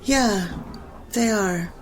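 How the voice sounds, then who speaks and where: A man voicing a flirtatious woman in her thirties in a storybook reading, with a breathy, drawn-out delivery. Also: sits high, pitched above the performer's own voice. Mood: pleased.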